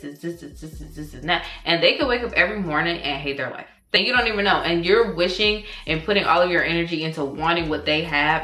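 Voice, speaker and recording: A young woman in her twenties gives frank big-sister advice, casual and animated. Her voice sits low but sounds bright and forceful. The room is echoey, with faint music underneath. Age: 20s. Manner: candid and animated, with slang and swearing. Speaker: vlogger giving advice.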